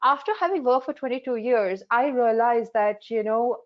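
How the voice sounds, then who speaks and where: A woman's voice: fairly high pitch, moderate pace, lo-fi sound. Earnest Hindi-speaking woman in her forties. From India. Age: 40s. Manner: earnest, frank storytelling with many fillers. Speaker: life coach. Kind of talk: webinar panel talk. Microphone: video call.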